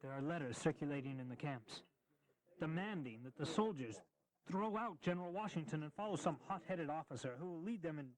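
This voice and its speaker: A man's voice: fairly high pitch, animated, a little fast, natural voice, low-quality recording. An actor playing an earnest young male army colonel in a historical audio drama, emphatic and rhetorical in his delivery. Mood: urgent.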